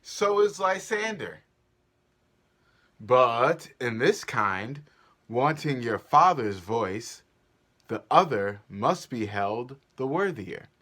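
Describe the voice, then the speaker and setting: A man's voice: medium pitch, big pitch swings, takes it slowly, a bit bright, full character voice. A man playing a grand, commanding duke in a stately, declamatory Shakespearean stage reading. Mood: grave. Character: grand, commanding male duke, measured and solemn.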